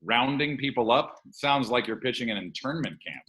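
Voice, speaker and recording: A man's voice: medium pitch, expressive, normal speed, bright, forceful tone. A man playing a blunt, brash, dismissive boss god with a punchy delivery in a comedy table read.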